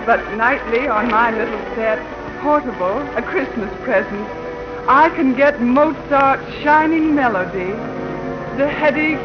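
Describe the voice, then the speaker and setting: A performer playing a poor, lonely music lover, lyrical and earnest, in a 1940s radio drama. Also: high-pitched. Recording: dull 1940 radio recording. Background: loud music.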